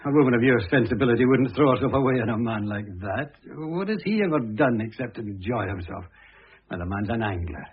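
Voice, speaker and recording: Conceited middle-aged ex-husband, radio play: very rough husky voice, indignant swoops, muffled narrow old recording. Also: male voice, mid-range voice, lively intonation, brisk. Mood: indignant, dismissive. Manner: pompous, blunt, indignant. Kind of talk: vintage radio drama acting.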